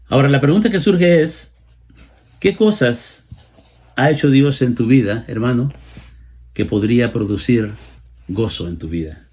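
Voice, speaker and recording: A man's voice: mid-range voice, lively intonation, a little slow, long pauses, dark tone, breathy edge. A man in his late fifties with a Salvadoran accent, preaching a sermon and explaining scripture, repeating phrases for emphasis. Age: late 50s. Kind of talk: sermon, Bible exposition.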